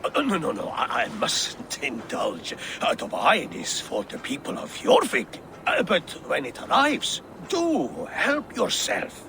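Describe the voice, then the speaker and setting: High, creaky, theatrical scheming councilman. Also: wildly swooping pitch, bright, forceful tone, slight background noise, full character voice. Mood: delighted, ingratiating. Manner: grandiose, drawn-out oratory. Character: theatrical scheming male councilman, genial host turning zealot. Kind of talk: video game cutscene acting.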